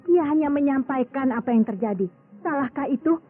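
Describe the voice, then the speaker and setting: An actress playing a proud, cool and stubborn doctor wife quarrelling in an Indonesian radio drama. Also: female voice, sits high, fairly quick, natural voice. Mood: exasperated, cutting. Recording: tinny old radio drama, music behind.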